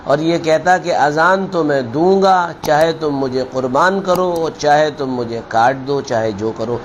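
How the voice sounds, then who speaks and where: High-pitched male preacher, noisy recording. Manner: deliberate, admonishing, repeats phrases for emphasis. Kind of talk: sermon with storytelling parable.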